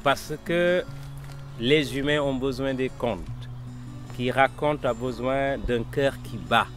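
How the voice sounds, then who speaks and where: A man from Senegal who has lived in France for about twenty years, speaking calmly and reflectively about storytelling. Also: medium pitch, takes it slowly, faint music behind. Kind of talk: interview.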